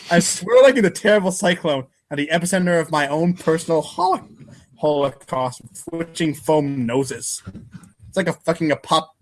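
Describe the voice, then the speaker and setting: A man's voice: on the high side, close to an everyday voice. A man reading the part of an exasperated, sarcastic, foul-mouthed teenage boy in a comic script reading, ranting wordily. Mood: anguished ranting.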